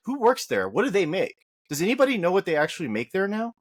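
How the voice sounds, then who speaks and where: A man's voice: quick pace, no room sound, studio quality. A man co-hosting a podcast, talking casually about politics with a slightly whiny voice, firing off rhetorical questions as he argues. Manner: animated, rising to high rhetorical questions when arguing. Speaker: podcast co-host.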